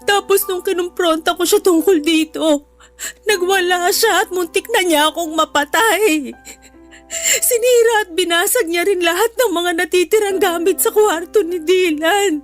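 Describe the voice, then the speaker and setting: A very high-pitched, protective Filipino mother in middle age, voiced by a woman. She pleads earnestly and emotionally, in radio drama style, with music underneath. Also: normal speed. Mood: tearful, remorseful. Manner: tearful, earnest, pleading delivery. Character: anguished, protective middle-aged Filipino mother of two sons.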